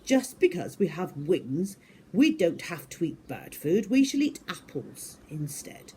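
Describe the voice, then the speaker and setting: A woman's voice: lowish voice, big pitch swings, a little slow, warm tone, slight background noise. A woman voicing a proud, fussy storybook father in a firm, decisive manner. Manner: declarative, decisive.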